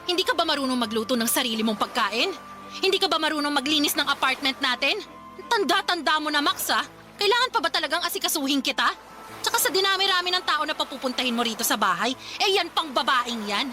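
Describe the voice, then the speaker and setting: Radio drama girlfriend, betrayed and fiery: very high, bright, piercing scolding, soft music underneath. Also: animated, fast talker. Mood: indignant. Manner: shrill, scolding, emphatic. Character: furious, betrayed live-in girlfriend, fiery and confrontational. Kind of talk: melodramatic radio drama acting. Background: background music.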